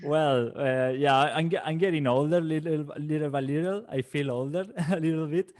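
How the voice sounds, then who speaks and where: A man's voice: fairly high pitch, moderate pace, a little mellow. A man in his late thirties from Valencia, Spain, a native Valencian speaker, talking as a guest in a casual interview, often restarting his words and laughing. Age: 30s. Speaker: guest calligrapher.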